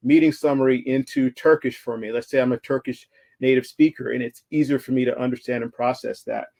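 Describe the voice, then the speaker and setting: A man speaking at a virtual summit, walking through slides in a relaxed, conversational way. His voice sits at a middle pitch with a gravelly, creaky texture. He talks through a call microphone and often stops to restart his sentences. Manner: conversational, frequent fillers and restarts. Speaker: conference speaker. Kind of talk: presenting slides in a virtual talk.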